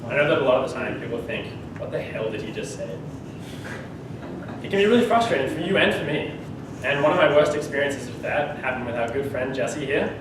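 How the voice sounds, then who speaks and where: A man's voice: medium pitch, noisy background. A teenage boy with an Australian accent giving a humorous, conversational speech to his class. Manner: humorous, conversational, laughs mid-sentence. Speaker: student speaker. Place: school classroom. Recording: echoey room, far from the mic.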